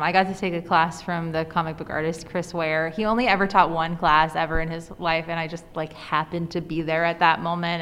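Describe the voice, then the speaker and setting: A woman's voice: low voice, fairly bright tone. A woman speaking as an interview guest, chatting informally with frequent "like" and enthusiastic emphasis. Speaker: interview guest, author and cartoonist. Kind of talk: casual conversational interview. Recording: reverberant room with clear background noise.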